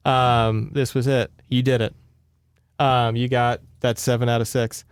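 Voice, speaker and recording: A man's voice: mid-range voice, flat delivery, unhurried, a little hiss or hum. A man co-hosting a podcast, recounting a book in casual chat with wry, mock-grandiose humor. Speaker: podcast co-host.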